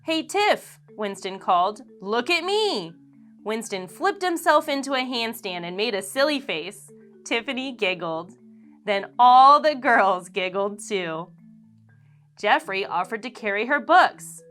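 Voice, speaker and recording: Woman reading a picture book aloud, bright tone, slow and deliberate, lively melody, soft marimba music behind. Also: medium pitch. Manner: animated, expressive storytelling. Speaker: children's teacher and storyteller.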